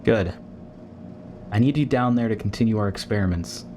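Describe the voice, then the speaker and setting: A man's voice: lowish voice, normal speed, a little mellow, slight background noise, close to an everyday voice. A man acting as a driven, guarded human commander in a sci-fi audio drama, terse and authoritative. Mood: resolved. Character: driven, guarded human military commander obsessed with alien artifacts. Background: music.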